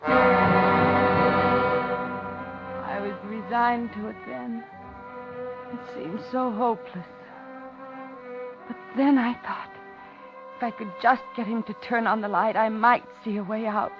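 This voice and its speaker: An actress playing an ordinary woman held captive, frightened and pleading, in dramatic, deliberate 1950s radio thriller style. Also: on the high side, fairly even intonation, over music. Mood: tense, recounting. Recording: muffled 1950s radio recording.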